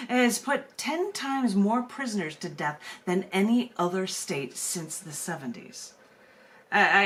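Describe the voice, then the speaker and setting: An American woman speaking as a poet and open mic host, emphatic and conversational, often repeating words haltingly. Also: on the low side. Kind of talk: spoken-word poetry reading and open mic hosting.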